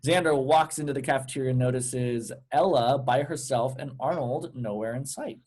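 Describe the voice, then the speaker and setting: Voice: mid-range voice, normal speed, darkish tone. A narrator reading out stage directions at a script table read.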